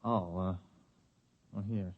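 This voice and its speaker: A man's voice: deep voice, steady tone, slow, heavily acted. An actor playing a blunt young ex-spaceman who acts in and narrates a radio drama in a plain-spoken way. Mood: puzzled, weary. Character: young ex-spaceman hero, blunt, uneasy, narrating his story. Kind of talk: 1950s radio drama acting and first-person narration. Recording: dull lo-fi vintage radio recording.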